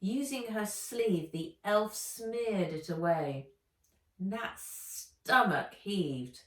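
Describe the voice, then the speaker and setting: Slow, animated woman reading a storybook. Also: medium pitch. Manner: dramatic, deliberate. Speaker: author reading own book aloud. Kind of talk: expressive children's storybook narration. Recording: echoey room, distant microphone.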